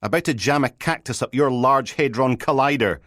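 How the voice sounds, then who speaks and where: A man's voice: mid-range voice, expressive, normal speed, no echo, pitched above the performer's own voice, close to an everyday voice. A grumpy, weary police sergeant, acted by a male audiobook narrator. He is sarcastic and curt, his voice lifted in exasperation. The recording is close and dry. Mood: irritated.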